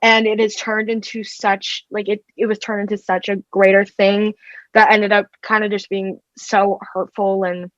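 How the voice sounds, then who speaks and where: A teenage girl, a fan page owner, speaking in a hesitant, halting way on a video call. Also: mid-range voice, flat delivery, dry recording. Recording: dull, dry video call audio.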